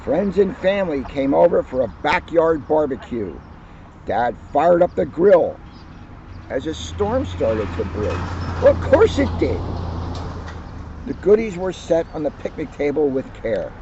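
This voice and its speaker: A man's voice: fairly high pitch, unhurried, muffled recording, noisy background. Male police officer reading a picture book. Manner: lively storytelling, livens up on quoted lines. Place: outdoors on a hill at a historic house.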